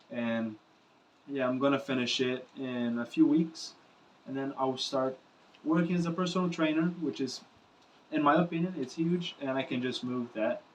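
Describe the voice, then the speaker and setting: A man's voice: mid-range voice, distant mic, slight background noise, low-quality recording. A young Brazilian man, Portuguese his first language, speaking English unscripted to the camera in a loose, rambling way with filler phrases and swearing. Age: young adult. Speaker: musician vlogger.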